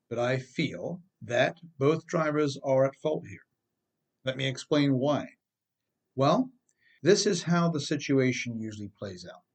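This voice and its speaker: A man with a medium-pitched voice narrates an instructional audiobook. He reads as its author, speaking directly to the listener with an emphatic, explanatory manner. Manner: rhetorical questions, direct address to listeners, emphatic. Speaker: author reading own advice book on driving.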